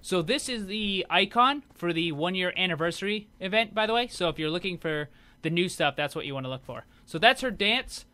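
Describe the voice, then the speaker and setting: Male gaming host, fairly high and very bright tone, informal explanatory commentary. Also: expressive, fairly quick. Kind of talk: casual video game showcase commentary.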